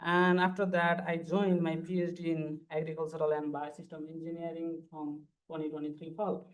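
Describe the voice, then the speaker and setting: A man's voice: on the high side, flat delivery, moderate pace, rough recording. A man, giving an earnest, formal conference panel presentation as a PhD student. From Nepal. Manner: earnest, formal, walks through slides step by step.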